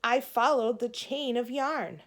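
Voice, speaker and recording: A woman's voice: fairly high pitch, a little slow, fairly bright tone. A woman voicing a curious little brother in a children's storybook, eager and full of questions. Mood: puzzled. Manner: eager, questioning, wide-eyed. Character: curious, pestering little brother, a young boy. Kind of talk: children's storybook read-aloud.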